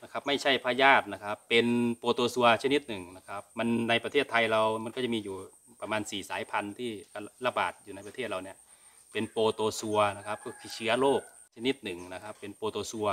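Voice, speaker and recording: Middle-aged male host from Thailand, bright forceful tone, informal and polite explanatory delivery to viewers. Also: medium pitch. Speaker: cattle farming channel host. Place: open-sided cattle barn.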